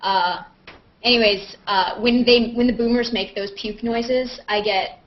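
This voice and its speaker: A woman's voice: fairly high pitch, steady tone, a little slow. A woman chatting casually on a livestream, rambling and self-mocking as she thinks aloud while answering questions. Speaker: actress and vlogger hosting a live Q&A. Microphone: video call on a computer. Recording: dull lo-fi webcam audio.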